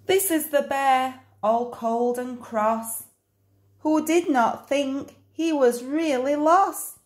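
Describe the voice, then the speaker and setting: A woman reading a children's picture book aloud in an expressive, deliberate storytelling manner. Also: high voice, echoey room. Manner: slow, animated, deliberate storytelling.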